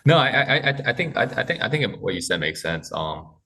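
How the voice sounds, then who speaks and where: A man speaking as a podcast guest, talking haltingly with many restarts and fillers. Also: mid-range voice, very animated, sharp tone, slightly rough, recorded from a distance. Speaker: guest, corporate development head. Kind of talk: casual podcast interview.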